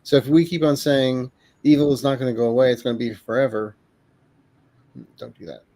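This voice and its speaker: A man's voice: medium pitch, brisk, dark tone. A man co-hosting a casual livestream, speaking in an emphatic, encouraging and preachy way. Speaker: co-host.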